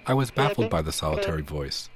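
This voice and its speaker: A man's voice: extremely low pitch, very animated, fast. A middle-aged man narrating a radio documentary in the first person from a script. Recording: loud shortwave static behind voice.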